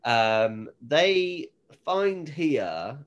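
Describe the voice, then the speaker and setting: A man's voice: mid-range voice, very animated, drawn-out words, highs cut off. A British man chatting casually as a podcast co-host. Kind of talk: casual chat.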